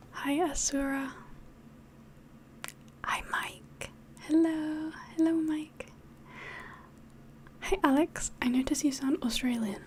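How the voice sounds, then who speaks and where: A woman with a slightly mixed English accent whispers softly in a rambling, hesitant way, as if hosting an ASMR livestream chat. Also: sits high, a little hiss or hum. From England. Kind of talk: soft-spoken ASMR chat, mostly whispered.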